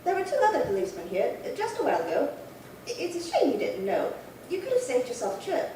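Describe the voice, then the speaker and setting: A woman's voice: high voice, wildly swooping pitch, fast, dark tone. A woman playing a chatty, dithering elderly single lady in an old-time radio drama, rambling politely and fussily. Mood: flustered. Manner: rambling, fussy, politely fluttery. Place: live theatre performance space. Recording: very echoey hall, distant, faint noise.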